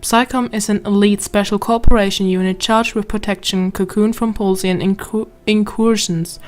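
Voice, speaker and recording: German-accented woman doing game commentary. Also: medium pitch. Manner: chatty, reads in-game text aloud with stumbles. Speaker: gameplay commentator. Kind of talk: let's play commentary. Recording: game music behind.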